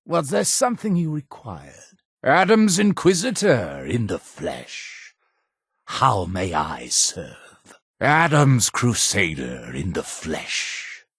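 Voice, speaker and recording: A zealous cult leader for a video game, solemn and fervent. His delivery is slow and grandly preaching, rising and falling dramatically. The voice is husky with a creaking edge, in formal, ceremonial phrasing. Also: on the low side, huge pitch swings, a bit bright, no room sound, clean studio sound, heavily acted. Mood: solemn, measured. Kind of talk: scripted video game character dialogue.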